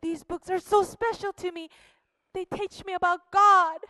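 A woman's voice: very high-pitched, fairly even intonation, moderate pace, sharp tone, no room sound, clean studio sound, in a large hall, voice pushed up. A woman playing an earnest young man in a children's church skit, praying aloud and sighing. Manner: earnest, prays aloud, sighs. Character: earnest young male teacher.

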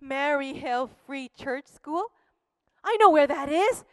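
Skit role: earnest young male teacher, played by a woman, very high voice, slow and dry, sighs and prays aloud. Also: animated, no echo, in a large hall.

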